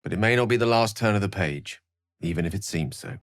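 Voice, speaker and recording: An actor playing an erudite, wry and courteous wizard in a video game, with eloquent, precise, theatrical phrasing. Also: male voice, on the low side, animated, brisk, dry recording, clean studio sound. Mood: solemn. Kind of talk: video game character acting.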